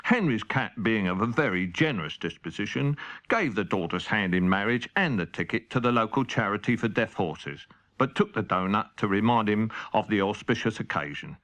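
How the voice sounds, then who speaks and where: A man narrating a children's audiobook as an expressive, theatrical storyteller. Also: on the low side, moderate pace.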